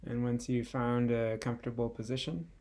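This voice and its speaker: Male meditation guide: soft-edged mellow tone with noticeable vocal fry, gentle delivery, patient pauses. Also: mid-range voice, little pitch movement. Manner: gentle, unhurried, with long pauses between phrases. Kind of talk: guided body scan meditation.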